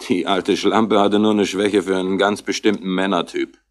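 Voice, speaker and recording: Deep-voiced, blunt police detective played by a man. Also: little pitch movement, normal speed, natural voice. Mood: disdainful. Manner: matter-of-fact, blunt. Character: matter-of-fact junior police detective, disdainful of lowlife. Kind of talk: 1960s German radio crime drama acting.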